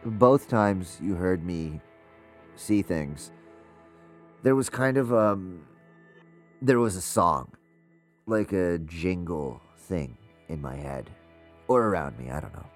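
A man playing an anxious, troubled ghost investigator who narrates his audio drama in a halting, confessional way. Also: sits low, expressive, soft background music. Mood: hesitant, confessional. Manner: halting, hesitant, uneasy.

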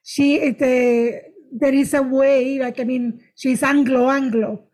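High-voiced middle-aged woman with foreign accent. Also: little pitch movement, a little mellow. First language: not English, which is a third language. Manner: halting, repeats words and phrases. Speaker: stand-up comedian, interview guest. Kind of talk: casual conversational storytelling with jokes.

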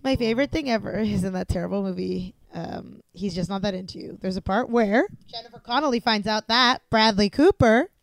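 A woman's voice: medium pitch, gravelly, no echo. A young woman co-hosting a podcast, chatting casually and jokingly while watching a movie. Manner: chatty, quick to react, joking. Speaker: podcast co-host. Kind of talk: casual movie commentary chat.

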